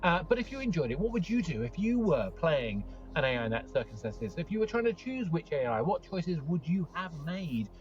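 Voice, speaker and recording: A man's voice: a little high, very animated, a little fast, few pauses, highs cut off, soft background music. A man hosting and running a game, chatting casually with his players in a group debrief. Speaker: host and game master.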